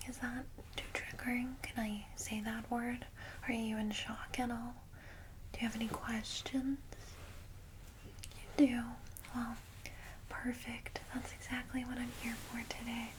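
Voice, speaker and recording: Female ASMR whisperer, kindly vampire guide, unhurried and even in tone, clear background noise. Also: a little high. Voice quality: soft breathy whisper. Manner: gentle, reassuring, unhurried. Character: kindly vampire secretary welcoming a newly turned vampire. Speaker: ASMR roleplay creator playing a guide to new vampires.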